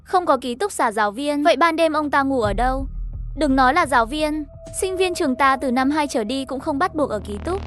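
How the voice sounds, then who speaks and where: A woman's voice: high-pitched, expressive, fairly quick, a bit bright, soft background music. A woman reading a scripted story narration, voicing the dialogue lines herself as the narrator. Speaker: narrator of an animated horror story recap.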